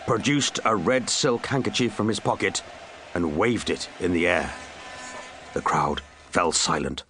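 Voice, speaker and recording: Guy reading a funny kids story, deepish voice, really up and down, dry little asides. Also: a bit bright. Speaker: audiobook narrator. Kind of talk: scripted comic children's story narration.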